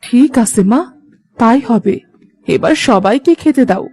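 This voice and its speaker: A woman voicing a frail, kindly old mother-in-law in a village cartoon, mild and motherly, with a husky voice. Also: a little high, lively intonation, a little slow, mellow, dry recording, full character voice. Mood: mild, coaxing. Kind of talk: Bengali moral-story cartoon dubbing.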